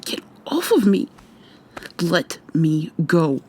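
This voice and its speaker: A woman playing a defiant, frightened young human captive in an intimate, hushed, breathy ASMR roleplay, tense and sweary. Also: low voice, very animated, takes it slowly, a little hiss or hum, exaggerated voice. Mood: angry, distrustful. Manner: tense, profane, confrontational.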